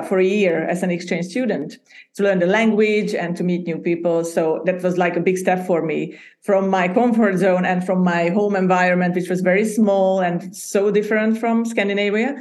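A woman's voice: mid-range voice, a little mellow. A woman from Hungary who speaks Hungarian as her first language, chatting as a podcast guest and often laughing as she talks. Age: adult. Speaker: podcast guest, agency founder. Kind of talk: casual interview chat.

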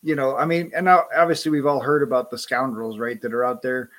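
A man with a mid-range voice talks in a relaxed, friendly way. He laughs easily and often repeats a word or two as he gets going. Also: mid-range voice, brisk. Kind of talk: casual conversation.

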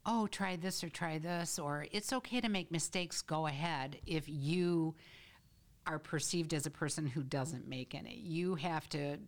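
A woman's voice: low-pitched, measured pace. An older woman, a veteran teacher, speaking as a guest in a casual podcast interview, reflective and playful.

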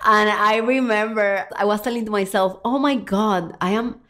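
Earnest woman with a Cuban Spanish accent. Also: medium pitch. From Cuba. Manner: earnest, animated, slows for emphasis and speeds up in asides. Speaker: podcast host and confidence coach. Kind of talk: solo podcast monologue.

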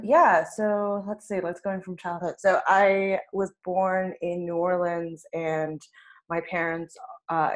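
A songwriter guest, a woman whose first language is Vietnamese, talks in a fairly low voice. She rambles candidly through her memories with plenty of fillers and quick laughs. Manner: chatty, filler-heavy, frequent "like" and laughs. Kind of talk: candid conversational storytelling.

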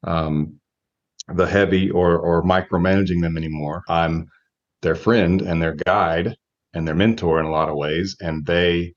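A man in his forties with a very low voice talks through his answers as an interview guest. He is measured and reflective, feeling his way through phrases. The recording sounds dull and lo-fi. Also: steady tone. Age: 40s. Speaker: guest, relationship coach.

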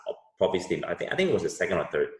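Man from Indonesia, very deep voice, hesitant interview answers, roomy echo. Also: sing-song, very fast, a little mellow. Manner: hesitant, many fillers and restarts. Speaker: software business owner, guest.

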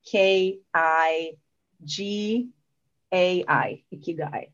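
A woman with a Southern European accent speaks in a somewhat low voice as a coach being interviewed. Her delivery is conversational and explanatory, with questions she answers herself. The recording is a little muffled and lo-fi. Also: extremely slow. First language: not English. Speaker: guest, leadership coach.

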